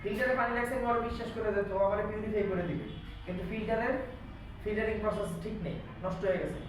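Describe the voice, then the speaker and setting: Fast-talking Bangladeshi male instructor, echoey room. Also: sits high. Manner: explanatory, often asking check questions. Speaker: electronics repair instructor. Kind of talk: interactive classroom teaching. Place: classroom, indoors. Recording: echoey room, distant mic, background noise.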